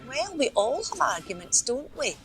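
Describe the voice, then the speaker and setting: A woman's voice: sits high, very animated, normal speed, a bit bright, exaggerated voice. A woman playing a polite, hesitant and rather nosy helpline volunteer in a radio play, with an apologetic manner. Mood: gossipy. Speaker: helpline phone operator.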